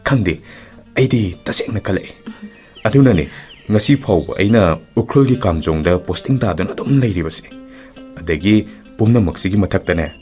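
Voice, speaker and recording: Deep-voiced, fast-talking young male lover. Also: expressive, warm tone, faint music behind. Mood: tender, reflective. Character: ardent young male lover, apologetic and tender. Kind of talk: romantic radio play acting.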